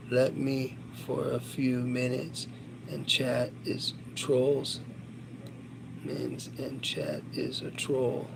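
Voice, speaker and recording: A man's voice: mid-range voice, vocal fry, slight background noise, low-quality recording. A man chatting casually to his viewers on a livestream, rambling, boastful and crude. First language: English.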